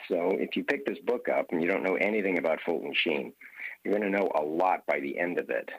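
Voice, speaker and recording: A man in his fifties from Hawaii, a guest author telling stories in an earnest, measured way on a radio interview. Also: sits low, lively intonation, slightly rough, no room sound, muffled recording.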